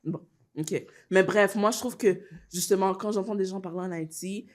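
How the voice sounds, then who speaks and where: A woman's voice: mid-range voice, steady tone, moderate pace. A woman with a Quebec French accent and a mid-range voice speaks as a podcast panelist. Her delivery is earnest and reflective, in loose everyday speech with some English mixed in. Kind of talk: casual reflective discussion.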